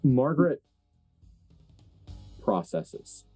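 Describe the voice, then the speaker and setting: Slow, dark-voiced man narrating. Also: medium pitch, sing-song, breathy edge, soft background music. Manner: halting, deliberate, searching for words. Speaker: game master. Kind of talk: improvised tabletop horror narration.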